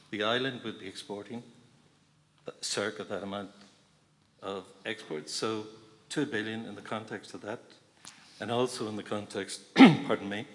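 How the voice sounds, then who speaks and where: A man's voice: on the low side, slight background noise. A man speaking from the floor at a public meeting, listing figures point by point. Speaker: audience member. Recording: long hall reverb.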